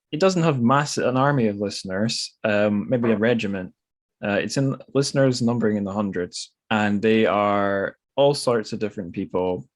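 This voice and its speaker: A man hosting a podcast in casual, informal chat, musing and correcting himself as he goes. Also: fairly low pitch. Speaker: podcast host.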